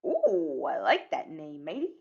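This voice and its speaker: A woman's voice: low voice, huge pitch swings, a little slow, creaky, breathy edge, full character voice. A woman voicing a blustering male pirate villain from a storybook, talking in pirate speak on a dull recording. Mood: sly, blustering. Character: gruff pirate villain posing as big bad wolf.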